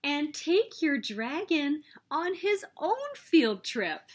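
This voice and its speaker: A woman reading a children's picture book aloud as story host, with expressive, emphatic delivery. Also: high-pitched, slow pace. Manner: expressive, emphatic storytelling with sing-song refrains.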